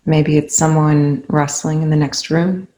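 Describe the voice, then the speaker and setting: Calm, even-toned meditation guide. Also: mid-range voice. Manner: calm, unhurried, soothing, with long pauses. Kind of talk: guided meditation, unscripted spoken instruction.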